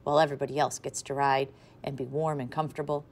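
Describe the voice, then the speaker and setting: A woman's voice: very deep voice, flat delivery, quick pace, a little mellow. A woman reading aloud voices a prim schoolgirl with a smug tone.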